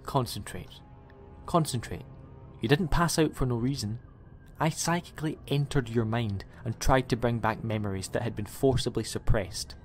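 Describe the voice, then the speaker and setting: A man's voice: medium pitch, moderate pace, natural voice. A man playing an eccentric, chatty time-travelling alien in an audio drama, with a rambling, quirky way of talking. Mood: earnest, explaining. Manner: rambling, self-correcting, quirky. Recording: music under the voice.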